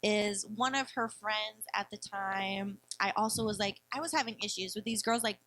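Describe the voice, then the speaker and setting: A young woman with a high-pitched voice, co-hosting a podcast and telling a story in casual chat, laughing as she talks. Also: normal speed, a bit bright, poor audio. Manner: chatty, laughs while telling. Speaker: podcast co-host.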